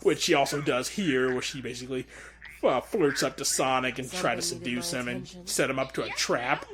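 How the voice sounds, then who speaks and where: A man giving a casual director's audio commentary, rambling and stammering with excitable energy. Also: mid-range voice, moderate pace. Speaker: director of a fan comic dub.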